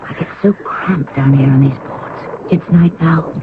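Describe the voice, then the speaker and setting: A woman's voice: sits low, unhurried, narrow, tinny audio. An actress playing a bold young queen disguised as a stowaway boy in a 1970s radio drama. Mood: confident, reassuring. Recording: band-limited, noisy old radio recording.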